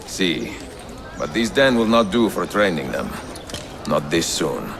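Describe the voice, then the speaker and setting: A man playing a seasoned, courteous Italian master assassin in a video game, speaking formally in a gravelly, accented voice. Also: deep voice, very animated, noisy background, full character voice. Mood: weary, wry. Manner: measured, formal, dignified. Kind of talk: video game acting and narration.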